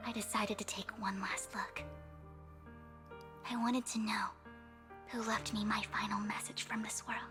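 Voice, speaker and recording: An actress playing a devoted, soft-spoken young woman in a game cutscene, earnest, halting and breathy, haunted by misfortune. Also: medium pitch, flat delivery, lightly acted. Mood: tender, sorrowful. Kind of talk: dramatic game cutscene acting. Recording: music behind the voice.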